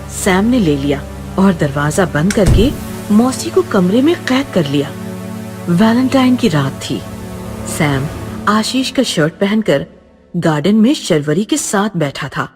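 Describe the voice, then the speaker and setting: A woman reading scripted horror story narration in a dramatic, suspenseful manner. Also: medium pitch.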